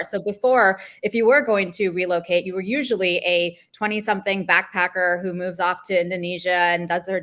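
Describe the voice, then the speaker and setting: Fast-talking woman panelist. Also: fairly low pitch, fairly bright tone. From Canada. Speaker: travel journalist, panelist. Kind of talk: panel discussion.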